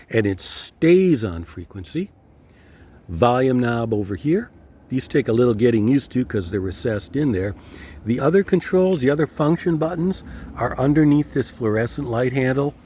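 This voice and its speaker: An older man presenting a casual product review, speaking deliberately and repeating key phrases for emphasis. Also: medium pitch, a little mellow, slightly rough. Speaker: host reviewing gear.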